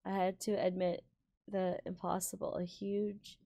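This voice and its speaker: A woman streaming a visual novel, reading it aloud in a halting, giggly way with stumbles over words. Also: mid-range voice, flat delivery, vocal fry, mellow, dry recording. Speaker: game streamer.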